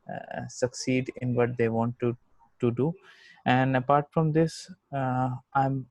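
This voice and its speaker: A man's voice: mid-range voice, a little mellow, no room sound, low-quality recording. A man working as a developer advocate giving a confident self-introduction on a video call.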